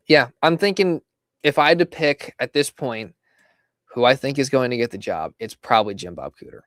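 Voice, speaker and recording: A man hosting a casual live stream about his football team, chatty and laughing, his voice going hoarse. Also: medium pitch, lively intonation, moderate pace, long pauses, no echo, clean studio sound. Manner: chatty, laughs between lines, reads out viewer comments. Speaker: fan show host. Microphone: desk microphone.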